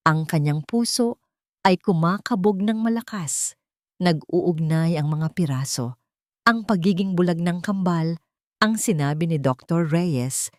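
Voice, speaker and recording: A woman's voice: sits low, a little mellow, no echo, clean studio sound. A woman reading a scripted story aloud in a dramatic narrating style. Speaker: audiobook narrator.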